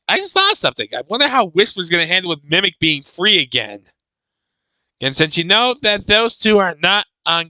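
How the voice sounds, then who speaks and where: A man with a very bright, clean voice hosts a reaction video. He talks casually and haltingly, doubling back on his phrases. The sound is close and dry. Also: fairly high pitch, clear, resonant tone, dry recording. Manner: halting, repeats and restarts phrases. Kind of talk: casual live reaction commentary on a comic dub.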